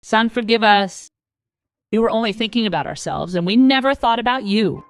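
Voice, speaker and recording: A woman's voice: a little high, normal speed, dry recording, close to an everyday voice. A woman playing a conciliatory, apologetic mother-in-law in a family cartoon story.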